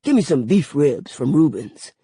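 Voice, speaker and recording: A laid-back storekeeper father, as a woman narrator puts him on in an audiobook. He is gruff yet affectionate, with a lowish voice and short, slangy lines. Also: big pitch swings, moderate pace, mellow, no echo, exaggerated voice.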